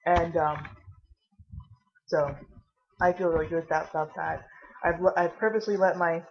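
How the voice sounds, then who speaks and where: A tired, caffeinated woman vlogging casually with a low voice, rambling and swearing now and then. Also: steady tone, moderate pace, lo-fi sound.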